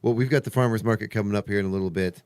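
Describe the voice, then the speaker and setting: A man's voice: on the low side, fairly even intonation, rapid-fire, darkish tone, clean tone. A man hosting a casual radio chat, rambling through stories in a chatty, conversational way. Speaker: radio show host. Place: radio studio.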